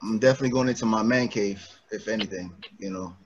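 A man's voice: fairly low pitch, quick pace, a little hiss or hum. A man from Guyana in a casual group chat, talking animatedly and acting out quotes with laughter. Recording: slightly dull lo-fi audio.

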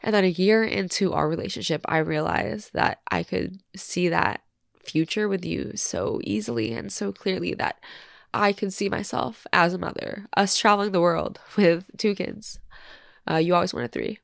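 A woman in her twenties, a podcast host, reading a personal letter aloud while crying and congested, halting and full of fillers. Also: lowish voice, gravelly, no echo, studio quality. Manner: tearful, halting, many fillers.

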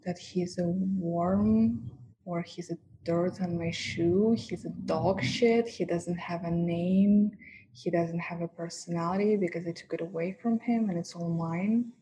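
A woman's voice: on the low side, fairly even intonation, unhurried, mellow, a little hiss or hum, poor audio. A woman with a Russian accent, a guest talking frankly and with lots of laughter about her work, her first language Russian. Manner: frank, laughs often, mock-degrading asides. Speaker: guest, professional dominatrix. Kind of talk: candid interview chat.

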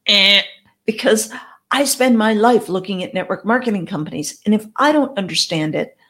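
A woman's voice: medium pitch, animated, normal speed, fairly bright tone. A woman with English as her first language, giving direct, conversational advice as a network marketing coach. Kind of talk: advice talk to camera.

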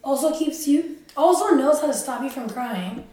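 A woman's voice: high-pitched, expressive, normal speed, warm tone. Joking young woman in echoey room. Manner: joking, blunt, laughs often. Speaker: podcast co-host. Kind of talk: casual chat. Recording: very echoey room, distant mic.

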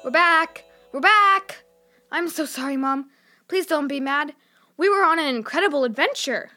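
A woman's voice: sits high, lively intonation, moderate pace, bright, forceful tone, soft background music. A female actor playing a sensible, curious young girl in a children's audio drama, earnest and frank in manner. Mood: breathless apology.

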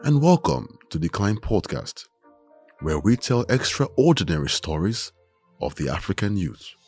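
A man hosting a podcast, reading scripted narration in a measured, expressive way. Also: fairly low pitch. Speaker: podcast host. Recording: dry close mic, faint music bed.

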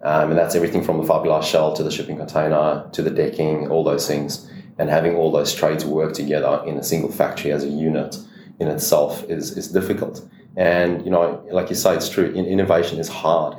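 A man's voice: a bass voice, level tone, brisk, a little mellow, echoey room, a little hiss or hum. A South African man in his thirties, a business owner chatting casually as an interview guest, easygoing and loose with his fillers.

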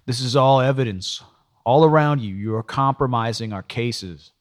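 A man's voice: fairly low pitch, moderate pace, lightly acted. A man voicing an angry, exasperated male police detective in an audiobook, scolding with profane rhetorical questions.

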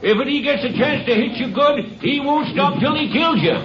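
An actor playing a folksy, opinionated old American boxing fan who rambles and hems and haws, in old-time radio style. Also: male voice, very high-pitched, lively intonation. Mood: urgent warning. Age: older. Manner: folksy, rambling, hesitant drawn-out speech. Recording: muffled 1950s radio recording, crowd noise behind. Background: crowd noise.

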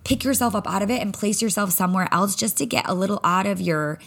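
A woman's voice: medium pitch, quick pace, fairly bright tone. A young adult woman, a spin instructor, talking candidly and casually on her solo podcast, swearing freely and acting out her own thoughts.

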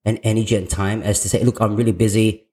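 Upbeat man hosting a podcast, mellow tone. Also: on the low side, flat delivery, quick pace. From Australia. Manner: enthusiastic, with restarts. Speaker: podcast host. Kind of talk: podcast interview.

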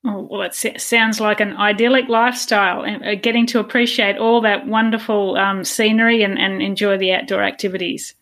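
A woman hosts an interview podcast in a medium-pitched voice. She is polite and encouraging, and keeps her questions conversational. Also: flat delivery, a little fast, hardly any pauses, a bit bright. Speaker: podcast host.

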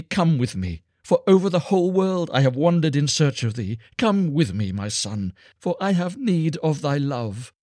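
Storybook beggar woman, played by a man: raised pitch, emphatic, desperate pleading, old-fashioned wording. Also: a little mellow, dry recording, studio quality. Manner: archaic, imploring, emphatic speech. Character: ragged beggar woman, long-lost mother, anguished and pleading. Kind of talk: dramatised storybook reading.

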